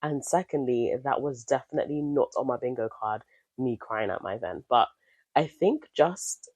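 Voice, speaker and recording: A woman's voice: a bass voice, expressive, normal speed, a little mellow, husky, no echo. A woman in her thirties hosting her own podcast, talking in a chatty, candid and upbeat way while nasal from a cold. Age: 30s. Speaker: podcast host. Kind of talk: casual solo podcast chat.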